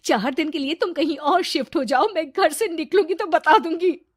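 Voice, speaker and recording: Woman acting firm, high-voiced wronged wife. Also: moderate pace, no echo, clean studio sound, natural voice. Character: self-reliant, strong-willed wife standing up to her husband. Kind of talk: Hindi cartoon story dialogue.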